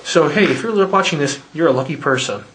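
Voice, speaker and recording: A man's voice: fairly high pitch, lively intonation, brisk, fairly bright tone, a little hiss or hum. A man, the creator of a radio play, chatting casually behind the scenes, rambling and self-deprecating with many fillers. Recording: echoey room, distant mic.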